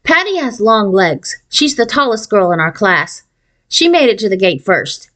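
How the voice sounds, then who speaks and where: Female reader for a children's chapter book, mid-range voice, expressive narration. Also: mid-range voice, moderate pace. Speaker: audiobook reader. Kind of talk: expressive read-aloud of a children's novel.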